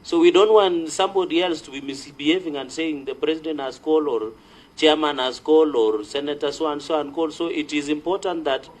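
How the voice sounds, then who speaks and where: A man with a Kenyan accent and a fairly high voice speaks in a formal parliamentary setting. He lays out his argument deliberately and insistently, as a senator addressing a committee. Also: rough recording.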